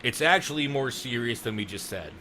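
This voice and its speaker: A man's voice: mid-range voice, expressive, brisk, background noise. A man hosting a podcast in a casual, enthusiastic chat, swearing now and then. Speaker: podcast host.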